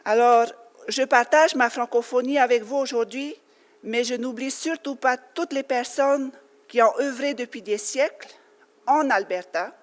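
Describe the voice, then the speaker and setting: A woman from French Polynesia giving an earnest personal speech to a conference audience, with light laughs and fillers. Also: a little high. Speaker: conference speaker. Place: conference hall. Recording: long hall reverb.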